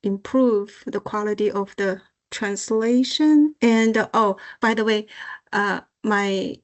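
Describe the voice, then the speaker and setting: A woman whose first language is Mandarin introducing herself on a video call, halting and full of fillers. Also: mid-range voice. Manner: halting, apologetic, many fillers. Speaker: election office staff. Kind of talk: self-introduction.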